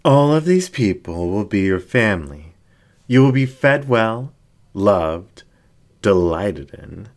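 A man voicing a seductive, commanding male fox demon ringmaster in a story reading, slow, grandiose and coaxing. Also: lowish voice, sing-song, full character voice. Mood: grandly enticing.

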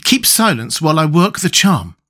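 A man's voice: a little high, big pitch swings, normal speed, a bit bright, pitched above the performer's own voice. A man voicing an earnest, anxious young boy with a bossy streak in a storybook adventure. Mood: solemn.

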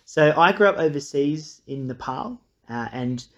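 A man's voice: a little high, lively intonation, a little slow, a bit bright, dull audio. A man, a new pastor, introducing himself in a friendly, earnest way with many ums. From Australia. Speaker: incoming pastor. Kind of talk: casual video introduction.

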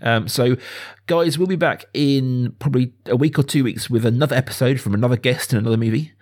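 A man around his thirties or forties hosting a casual film discussion, talking enthusiastically in a halting, discursive way. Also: mid-range voice, a little mellow. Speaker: podcast host.

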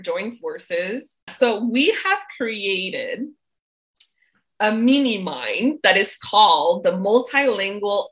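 A woman's voice: medium pitch, a bit bright. A woman co-hosting a business livestream in casual chat, bubbly and laughing often, over a video call. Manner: bubbly, laughs often, says "like" and "really" a lot.

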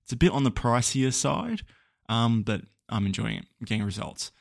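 A man's voice: medium pitch, normal speed, creaky, no room sound, clean studio sound. A young Australian man hosting a casual solo podcast, rambling and chatty with lots of ums and small laughs. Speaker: podcast host.

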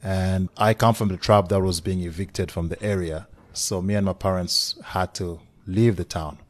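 A man in his forties, born in the Congo, talks as a podcast guest over a remote line. His voice sits very low, and the sound is dry and close. He tells his story reflectively and laughs softly now and then. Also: steady tone, moderate pace, no echo. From Democratic Republic of the Congo. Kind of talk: casual remote interview. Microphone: remote call.

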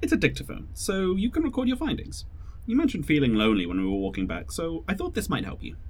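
A man playing a polite, fatherly man in an audio drama, speaking in a gentle, courteous, reassuring way. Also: fairly high pitch, sing-song, quick pace. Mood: kind, modest.

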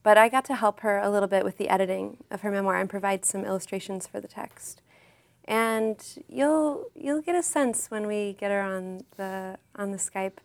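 A woman's voice: mid-range voice, moderate pace, gravelly. A woman author and artist, a podcast guest speaking in a reflective, earnest way. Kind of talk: podcast interview.

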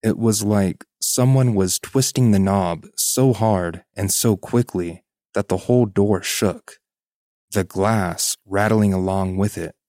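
A man narrates a first-person story for a podcast in a low-pitched voice. The sound is dry and close, with very little room around it. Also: slow, darkish tone, dry recording.

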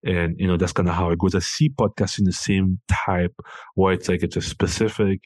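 A man's voice: deep voice, fairly even intonation, normal speed, a little mellow, breathy edge, no echo, clean studio sound. A man from Cameroon, a company founder, talking casually as an interview guest, often restarting his sentences. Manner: hesitant, many restarts and fillers.

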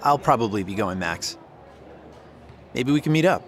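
A man's voice: medium pitch, lively intonation, moderate pace, close to an everyday voice. A man playing a supportive, easygoing teenage boy in an audio drama, speaking casually and reassuringly. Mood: friendly. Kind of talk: naturalistic audio drama acting.

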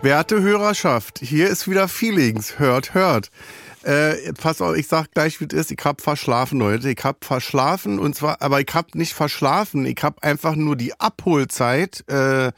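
A man's voice: fairly high pitch, moderate pace, gravelly, fairly bright tone, dry recording, clean studio sound. A man hosting a podcast, rambling and joking with many self-interruptions while nursing a cold. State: has a cold. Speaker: podcast host. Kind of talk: casual rambling chat.

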